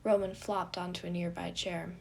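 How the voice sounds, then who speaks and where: A woman's voice: on the low side, flat delivery, normal speed, vocal fry, slight background noise. A woman reading scripted fiction aloud as the narrator of an audio story. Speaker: podfic narrator.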